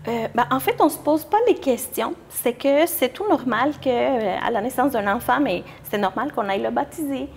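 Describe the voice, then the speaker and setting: A young woman with a fairly high voice. She answers as an interview guest in an informal way, often hesitating as she looks for her words. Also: lively intonation, moderate pace, vocal fry, hardly any pauses, husky. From Mexico. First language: Spanish. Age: young adult. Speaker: interview guest, church youth group leader.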